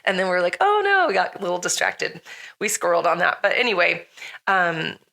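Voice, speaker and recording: A middle-aged woman with a slightly hoarse, raspy voice hosts a casual podcast chat, rambling with lots of fillers and frequent laughs. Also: fairly low pitch, big pitch swings, brisk, pushed and bright. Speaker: podcast host.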